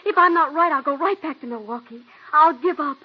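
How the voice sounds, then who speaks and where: An actress playing a young, ambitious stage actress in a 1940s radio drama, earnest and pleading with an edge of cool menace. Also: female voice, high-pitched, brisk, background noise, close to an everyday voice. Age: young adult. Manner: earnest and pleading, turning coolly threatening. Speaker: aspiring actress. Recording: dull old radio broadcast.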